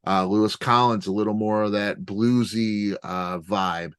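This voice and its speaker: A male interviewer with a lowish voice works through long, filler-heavy questions. His tone is chatty. The recording is close and dry, with little room sound. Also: fairly even intonation, unhurried, smooth, dry recording.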